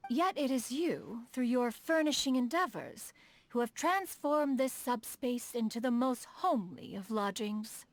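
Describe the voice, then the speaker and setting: A proud adeptus noblewoman and inventor for a video game: high, expressive delivery, stately archaic phrasing. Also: unhurried. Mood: gentle, reflective. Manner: formal, archaic, lofty diction, speaks of herself as "one". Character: proud, refined immortal adeptus woman, inventor of mechanical contraptions. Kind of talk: scripted video game character dialogue.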